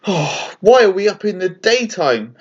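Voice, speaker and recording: A man plays a small storybook bat with a high, swooping voice. He is nervous and earnest, pleading a little as he talks. The sound is slightly dull. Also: very animated, measured pace, breathy edge, exaggerated voice. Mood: puzzled. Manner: hesitant, plaintive.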